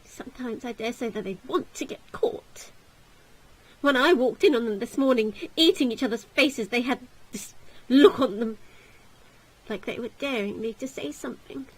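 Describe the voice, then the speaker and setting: A woman's voice: on the high side, moderate pace, natural voice, rough recording. A woman voicing a bitter, hot-tempered young woman in a visual novel, indignant and sarcastic, with emphatic delivery.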